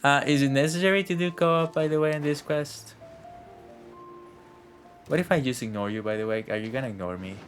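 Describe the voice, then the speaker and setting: A man's voice: medium pitch, brisk, pauses often. A man giving casual live game commentary, rambling to himself and swearing now and then. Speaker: game streamer. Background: faint game music.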